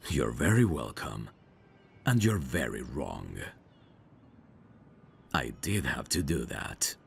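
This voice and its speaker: A man playing a dangerous, flirtatious mafia boss in an ASMR roleplay, smugly protective, with a teasing, self-assured, unhurried delivery. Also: rumbling low, vocal fry, breathy edge, full character voice. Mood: smug, insistent. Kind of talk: ASMR roleplay audio drama.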